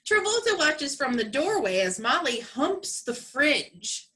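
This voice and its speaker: A woman's voice: high voice, sing-song, slow, pushed and bright. A woman comedian giving sassy, sarcastic narration for a radio play. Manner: dry sarcastic commentary.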